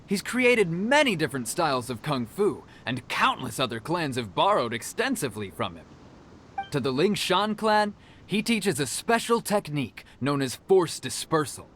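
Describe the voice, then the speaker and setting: An actor playing a proud, earnest young martial arts disciple who explains things in a video game. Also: male voice, fairly high pitch, sing-song, moderate pace, a bit bright, slight background noise.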